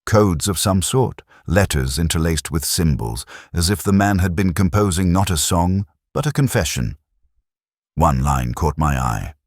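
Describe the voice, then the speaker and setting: A man playing a brooding, literary police inspector who narrates his case in a measured, reflective, melancholic way, as in a scripted audio drama. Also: sits low, animated, no echo, studio quality, full character voice. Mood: reflective, sombre.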